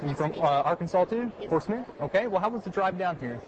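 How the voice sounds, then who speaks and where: Fast-talking chatty male interviewer. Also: high voice, lively intonation, highs cut off. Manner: chatty, repeats answers back. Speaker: event interviewer. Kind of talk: casual on-the-spot interview. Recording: crowd chatter at an outdoor event.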